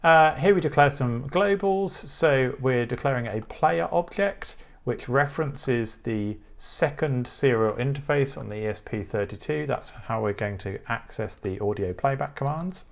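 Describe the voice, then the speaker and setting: Creaky-voiced man explaining technical steps. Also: medium pitch, animated, unhurried, few pauses. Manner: explanatory, methodical. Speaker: tutorial presenter. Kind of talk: technical tutorial narration.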